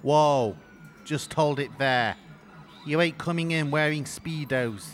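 Man playing a surly, higher-pitched arcade boss. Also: takes it slowly. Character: surly, officious arcade manager turning kids away. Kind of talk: amateur audio drama acting.

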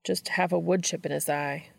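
Very creaky-voiced woman narrating fiction. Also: sits low, steady tone, moderate pace, no echo. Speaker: fan fiction audiobook reader. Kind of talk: scripted story narration.

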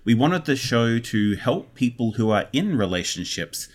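A man's voice: mid-range voice, very animated, normal speed. A man talking casually as a guest in an interview. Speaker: podcast guest, audio drama co-creator.

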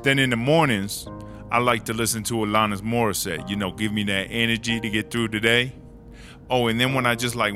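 A man's voice: lowish voice, pushed and bright. A man playing a streetwise, long-serving woman prisoner who tells stories in slangy, sweary talk for a comedy audio drama. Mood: candid, chatty. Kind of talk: casual first-person storytelling in a comedy audio drama. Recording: music under the voice.

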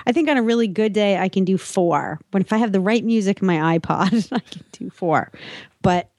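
A woman's voice: medium pitch, brisk, darkish tone, no echo, studio quality. A woman co-hosting a podcast in casual banter, giggly and self-deprecating as she talks. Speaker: podcast co-host.

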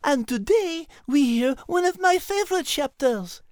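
A woman's voice: high voice, expressive, unhurried, darkish tone, no room sound, studio quality. A woman voicing a polite, genteel French cat in a children's story podcast, speaking with a French accent. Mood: gracious.

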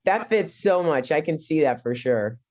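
A woman interviewing a guest, asking slow questions and giving short supportive responses. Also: deep voice, expressive, a little mellow. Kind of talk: casual interview.

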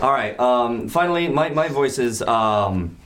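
A man's voice: medium pitch, takes it slowly, very noisy. A man running a tabletop game, chatting casually with his players and joking with them. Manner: lively, jokey, asks players in turn. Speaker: game master.

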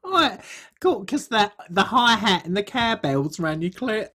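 A performer playing a crude, gossipy middle-aged English woman podcaster, telling rambling comic stories in a blunt, husky way. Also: mid-range voice, very animated, measured pace, bright, forceful tone, heavily acted. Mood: excitable, crude. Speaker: podcast co-host. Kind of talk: comic character chat, rambling anecdotes.

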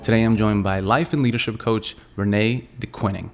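A man's voice: fairly low pitch, fairly even intonation, fairly quick, over music. A man hosting a podcast interview, friendly and upbeat, laughing often with his guest. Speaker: podcast host.

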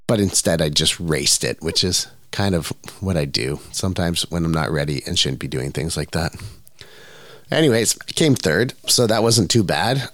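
A man hosting his own podcast, stuffed up with a cold, talking in a relaxed, rambling way with lots of fillers. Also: sits low, no echo, clean studio sound. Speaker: podcast host. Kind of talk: casual solo podcast monologue.

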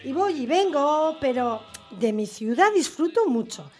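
Abuela parlanchina de voz aguda. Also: female voice, sits high, expressive, unhurried. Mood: chatty, homely. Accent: Spain, Basque Country. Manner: rambling, effusive, homely. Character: chatty, cheerful widowed grandmother of sixty-six phoning in. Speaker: radio quiz caller. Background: music.

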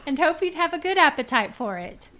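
A woman playing a sharp-tongued, indignant wife and mother in a live radio play reading. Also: sits high, big pitch swings, fairly quick, exaggerated voice.

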